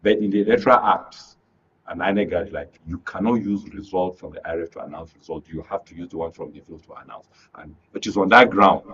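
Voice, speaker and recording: A Nigerian man speaking as a studio guest, giving emphatic, deliberate political analysis in a radio discussion and often repeating phrases. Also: deep voice, a bit bright, dull audio, slight background noise.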